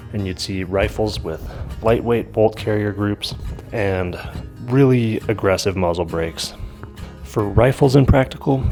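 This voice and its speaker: A man with a low voice, a bit stuffy from an oncoming cold, walks through his shooting gear piece by piece. He talks at home in a casual, matter-of-fact way, with guitar music playing clearly behind him. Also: a little mellow. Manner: detailed, matter-of-fact technical talk. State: coming down with a cold. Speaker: vlogger.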